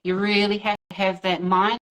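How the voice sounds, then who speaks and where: A woman's voice: medium pitch, flat delivery, normal speed. A woman in her thirties from New Zealand with a New Zealand accent, a guest on a video call interview, talking casually with lots of fillers and easy laughs. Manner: hesitant, many fillers, laughs easily.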